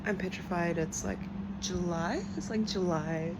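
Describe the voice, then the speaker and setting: Young woman, New Zealand accent, lower voice, confessional and rambling, heavy street noise behind. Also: a little slow. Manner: rambling, full of "like", trailing off. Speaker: vlogger. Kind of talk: late-night confessional vlog. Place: in bed at home at night.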